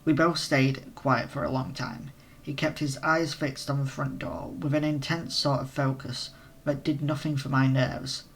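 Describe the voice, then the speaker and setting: A man with a strained, nearly hoarse voice, reading a visual novel aloud with casual asides for a let's play. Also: medium pitch, flat delivery, a little slow, a bit bright, a little hiss or hum. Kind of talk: reading game text aloud with commentary.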